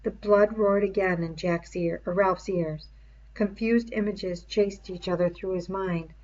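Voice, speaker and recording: A woman narrating a novel aloud in an expressive, literary storytelling style. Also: mid-range voice, a little slow, highs cut off.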